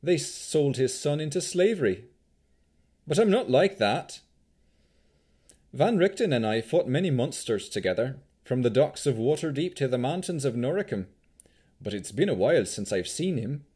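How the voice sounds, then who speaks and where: A man's voice: mid-range voice, sing-song, normal speed, a little mellow, slightly rough, pitched above the performer's own voice. A man voicing a jaunty, self-assured female swashbuckling traveller with a fantasy accent, breezy and unbothered. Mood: candid. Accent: Vistani-style fantasy accent.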